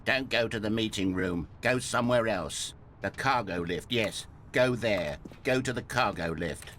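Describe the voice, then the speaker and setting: A man's voice: lowish voice, measured pace, a bit bright, slight background noise. A man voicing a talking bucket in a game, giving insistent, commanding orders. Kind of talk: scripted game voice acting.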